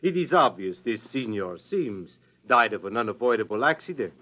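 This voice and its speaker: A courteous small-town mayor in classic radio drama. His voice is mid-pitched, and he speaks with formal, official politeness. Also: big pitch swings, moderate pace, bright, forceful tone. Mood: diplomatic. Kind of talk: vintage radio drama acting. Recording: old radio drama recording.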